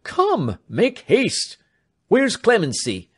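A man voicing an excitable country doctor who calls out loudly and impatiently. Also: sits high, extremely slow, slightly rough, pitched above the performer's own voice, exaggerated voice. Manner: loud, impatient, calling out.

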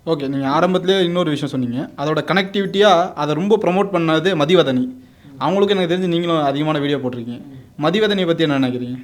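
A man's voice: fairly high pitch, quick pace. A man interviewing in a conversational style.

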